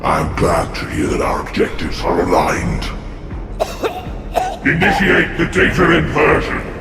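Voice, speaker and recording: An actor playing a menacing, imperious alien warlord in a video game, with a gravelly, declamatory, commanding delivery. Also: male voice, low-pitched, wildly swooping pitch, measured pace, exaggerated voice. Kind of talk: video game cutscene acting. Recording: echoey, distant, under loud music.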